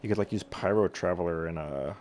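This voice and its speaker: A man's voice: low-pitched, a little fast, darkish tone, slight background noise. A man giving casual video game commentary, slangy, with jokey asides to the characters. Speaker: video game streamer playing through a quest.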